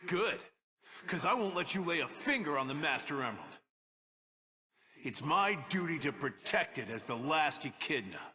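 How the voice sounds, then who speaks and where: A blunt, proud young echidna warrior from a video game. His voice is high for a man and very bright, with long hall reverb around it. He sounds earnest and forceful. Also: big pitch swings, normal speed. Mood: stern. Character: young male echidna guardian, hot-headed, proud and dutiful. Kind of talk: video game cutscene acting.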